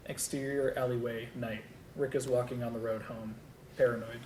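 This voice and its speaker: Man, script narrator, monotone, stage directions, roomy echo with faint rumble. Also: normal speed. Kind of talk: scripted narration at a table read. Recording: echoey room with low rumble.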